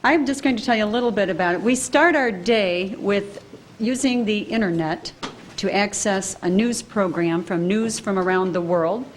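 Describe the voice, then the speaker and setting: Recorded at a public meeting with a faint hum behind her, this woman speaks at an ordinary pitch in a relaxed, explanatory manner. Her delivery is upbeat and informal, like a staff member walking officials through what happens day to day. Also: moderate pace. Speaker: program staff presenter. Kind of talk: public presentation at council meeting. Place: council meeting room.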